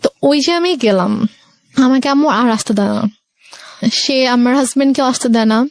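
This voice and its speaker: A young woman with a Bangladeshi accent telling her personal story as a guest, conversationally and with hesitant pauses. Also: high voice, no echo. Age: young adult.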